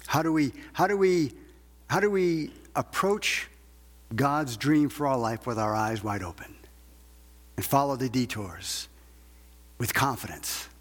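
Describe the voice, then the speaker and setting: Male evangelical preacher, rough-edged voice, emphatic and repetitive sermon delivery, big echoing hall. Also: medium pitch, animated, unhurried, long pauses. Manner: emphatic, repeats phrases with rhetorical questions. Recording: long hall reverb.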